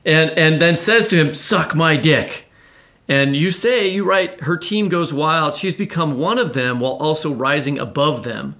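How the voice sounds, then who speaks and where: A man's voice: on the high side, a little slow, sharp tone. Man co-host asking long, winding questions. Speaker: podcast co-host and novelist. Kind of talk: podcast interview.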